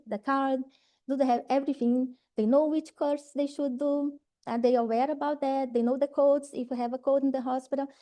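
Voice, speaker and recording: A woman from Brazil with a Brazilian Portuguese accent, speaking English as a second language, gives an informal talk to trainees in a hesitant way with many fillers, restarts and a frequent "right?". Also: warm tone. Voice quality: low voice.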